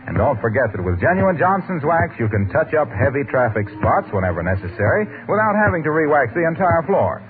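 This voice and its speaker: A man's voice: on the high side, big pitch swings, a little fast, few pauses. An American man announcing in a polished, salesmanlike 1940s radio style, reading scripted copy. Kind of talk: 1940s radio ad read and sign-off. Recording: tinny 1940s radio recording. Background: music.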